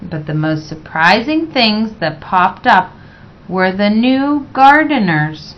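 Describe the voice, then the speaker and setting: A woman reading a children's picture book aloud in a measured, expressive way. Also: mid-range voice. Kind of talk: read-aloud children's story narration. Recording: dull, lo-fi sound.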